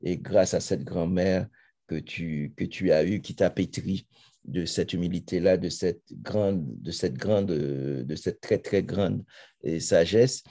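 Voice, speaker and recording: A man hosts the interview with a very deep, mellow voice. He searches for his words and keeps restarting phrases as he goes. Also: takes it slowly, vocal fry. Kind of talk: interview conversation.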